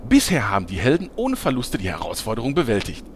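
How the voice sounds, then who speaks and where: A man's voice: medium pitch, wildly swooping pitch, quick pace, a little hiss or hum. A man playing an eager, self-promoting, servile reporter in parody audio drama, with formal, obsequious commentary. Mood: officious.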